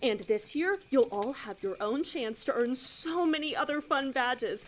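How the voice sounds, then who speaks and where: A woman's voice: high-pitched, a little slow, a little hiss or hum, low-quality recording. An actress playing a relentlessly cheery, blithely macabre female scout leader in an audio drama, chirpy and instructing.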